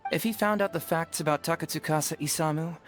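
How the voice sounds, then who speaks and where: A man voicing a clever young detective in a video game, smooth and playful with some quiet self-doubt, phrasing his words with care. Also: fairly high pitch, fast, faint music behind, close to an everyday voice. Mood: earnest, troubled.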